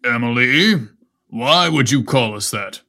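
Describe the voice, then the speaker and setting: A man playing a flustered, lovestruck adult fire captain with a halting manner in a story reading. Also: medium pitch, expressive, sharp tone. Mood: stern, awkward. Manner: halting, stammering.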